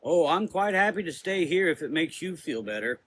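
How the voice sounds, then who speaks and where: A nonchalant dark sorcerer acted out by a man speaks with wry courtesy in a gothic audio drama. His voice is bright and firm, sardonic throughout. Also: fairly high pitch, expressive, moderate pace, a little hiss or hum, voice pushed up. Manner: casual, wry.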